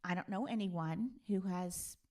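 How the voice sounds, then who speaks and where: A woman's voice: lowish voice, a little slow, a little mellow. A woman hosting a casual live stream, chatting in a playful, conversational way. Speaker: live stream host and musician.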